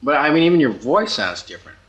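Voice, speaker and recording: A man in his thirties asking questions in a halting, casual interview style, often rephrasing himself. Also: on the high side, big pitch swings, a bit bright. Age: 30s. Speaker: magazine interviewer. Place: in a house, over lunch. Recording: dull, lo-fi old interview tape.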